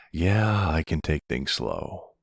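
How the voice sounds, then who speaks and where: Man acting a deep, gravelly mafia boss. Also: no room sound, studio quality, exaggerated voice. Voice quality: low, gravelly. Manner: unhurried, affectionate, measured. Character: tender silver-fox mafia boss, older man, calm and devoted. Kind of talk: intimate boyfriend roleplay audio drama.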